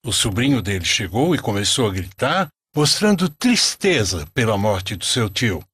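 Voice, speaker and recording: A man narrating a scripted religious story for an animated film. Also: on the low side, normal speed, husky, dry recording, studio quality.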